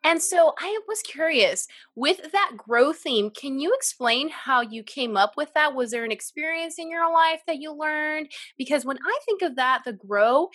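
Podcast host, woman, Central Valley, California; bright, upbeat, dry close mic. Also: high voice, animated, unhurried, few pauses, no echo. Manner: upbeat, encouraging, asks questions warmly. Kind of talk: casual interview chat.

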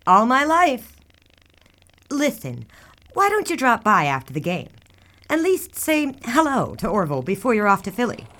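A chatty 1920s newspaper office worker in a radio drama. She is polite and halting on the telephone, with a very lively, swooping intonation. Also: on the high side. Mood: friendly, slightly flustered. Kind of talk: radio drama phone conversation.

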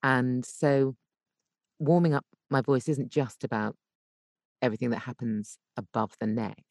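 A British woman talking conversationally as an interview guest, explaining things in an animated, emphatic way from a home voice booth. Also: rumbling low, unhurried, no echo, clean studio sound. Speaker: podcast guest, voice actor and coach.

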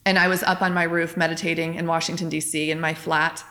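A woman hosts a podcast interview, with a voice that sits a little low for a woman. She talks in a chatty, enthusiastic way and keeps affirming her guest. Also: flat delivery, fast, pushed and bright. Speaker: podcast host. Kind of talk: casual interview.

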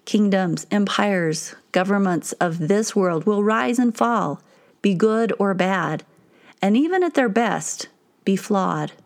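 A woman reading as Jesus, a calm patient teacher. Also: mid-range voice, slow pace.

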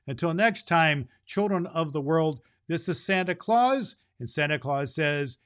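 A man's voice: a little high, expressive, a little slow, a bit bright. A man hosting a children's podcast in the role of Santa Claus, reading a storybook chapter aloud with grandfatherly chuckles.

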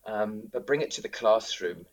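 A man's voice: on the low side, normal speed. A man in his thirties with a South African accent, talking casually as an interview guest with many ums and restarts. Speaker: director of drama, guest.

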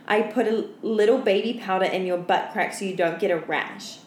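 A cringey, volatile boyfriend played by a woman reads his texts out loud. The baby talk and crude insults are delivered as a podcast bit, in a roomy, echoing space with clear background noise. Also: medium pitch, moderate pace, natural voice. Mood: cloying, babyish. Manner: babyish pet names mixed with crude insults. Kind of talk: dramatic read-out of text messages.

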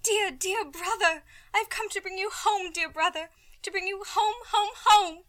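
A sweet eager little girl, radio play, super high n bright, gushing. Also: unhurried, faint music behind. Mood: joyful, tender. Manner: earnest, gushing. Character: affectionate, eager little sister, young girl. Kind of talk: theatrical radio play acting.

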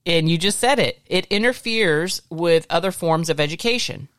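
American podcast host, mid-pitched, lightly gravelly, explanatory and conversational, recorded dry. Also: moderate pace, a bit bright, no room sound. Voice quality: slightly gravelly. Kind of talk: casual discussion from notes.

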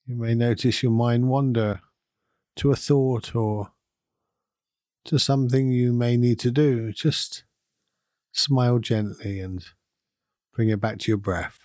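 Mellow man gently guiding a meditation. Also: medium pitch, dry recording, studio quality. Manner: calm, soothing, unhurried with long pauses. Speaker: meditation guide and podcast host. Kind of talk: guided meditation, gentle instruction.

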